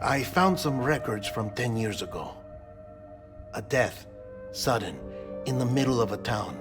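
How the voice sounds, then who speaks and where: An actor playing a calm, talkative artificial man built from cadaver parts, speaking in a measured, confiding way in a game cutscene. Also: mid-range voice. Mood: sombre. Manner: measured, slow, confiding delivery. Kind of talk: video game cutscene acting. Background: game score music.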